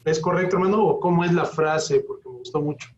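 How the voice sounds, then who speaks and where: A man's voice: fairly high pitch, quick pace. A man taking part in a class, casually asking a question in a polite, tentative way. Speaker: class participant. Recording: echoey, dull room audio with background noise.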